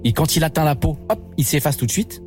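Animated male radio co-host. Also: a little high, fast talker, soft background music. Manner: animated storyteller, playful. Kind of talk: radio banter and storytelling.

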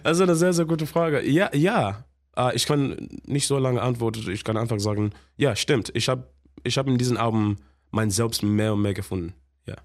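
A man from Zimbabwe with an English accent, a musician guest speaking halting German mixed with English, animated and laughing often. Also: medium pitch. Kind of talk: casual radio interview.

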